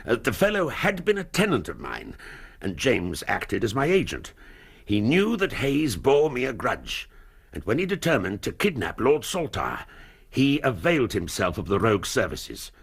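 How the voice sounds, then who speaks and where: Gravelly, anguished English duke. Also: male voice, lowish voice, wildly swooping pitch, heavily acted. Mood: grave, regretful. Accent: English, upper-class. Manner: formal, grave, halting under strain. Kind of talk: radio period drama acting.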